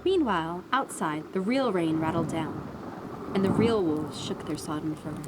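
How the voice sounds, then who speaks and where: A woman's voice: on the low side, animated, moderate pace, close to an everyday voice. A woman narrating a storybook audio drama as a scripted storyteller. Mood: storytelling. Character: storybook narrator of a brigand tale. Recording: music bed behind the voice.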